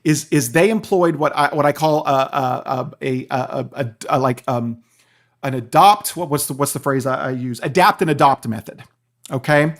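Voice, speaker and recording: A man's voice: mid-range voice, normal speed. A man speaking as a guest professor in an interview, explaining things in a professorial way and checking in with listeners.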